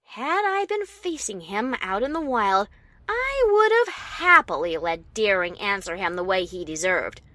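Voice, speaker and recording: Casting: actress as defiant pony adventurer telling her own tale, animated mid-range voice, wry and dramatic. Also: mid-range voice, a little slow, dry recording. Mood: wry, tense. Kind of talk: first-person story narration with acted dialogue.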